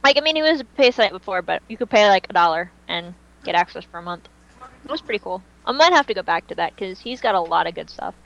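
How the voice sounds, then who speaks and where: A woman's voice: mid-range voice, lively intonation, fast talker, vocal fry, fairly bright tone, highs cut off. A woman chatting casually as co-host of a fan podcast, talking in a reminiscing way.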